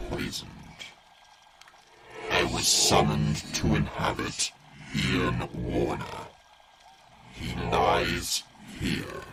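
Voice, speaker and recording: A man voicing a cold, menacing spirit that possesses a human body, speaking in slow, formal, ominous pronouncements in a horror audio drama. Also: very noisy, full character voice. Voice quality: very deep, gravelly, breathy growl.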